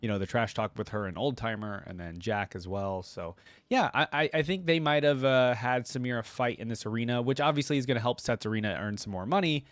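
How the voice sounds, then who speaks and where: A man's voice: medium pitch, brisk, no room sound. A man hosting a reaction video, commenting casually and thinking aloud in an informal way.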